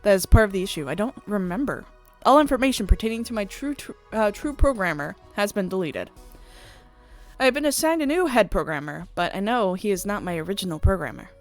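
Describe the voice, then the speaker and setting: A woman's voice: mid-range voice, lively intonation, brisk, close to an everyday voice. Woman voicing a prim, polite female AI idol. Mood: troubled, earnest. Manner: prim, courteous, stilted wording. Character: polite, formal, earnest female AI pop idol. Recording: music playing behind the voice.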